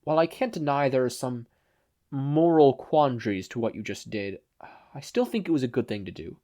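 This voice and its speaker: A man's voice: medium pitch, lively intonation, moderate pace, dark tone, lightly acted. Man playing a kindly, concerned hero mentor. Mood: gentle concern.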